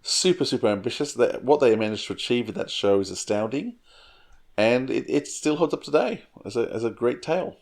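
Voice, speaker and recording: A man's voice: medium pitch, animated, fairly quick, a little mellow. A man in his forties co-hosting a podcast, speaking in a thoughtful, earnest way and sometimes laughing as he talks. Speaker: podcast co-host. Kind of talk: casual podcast discussion.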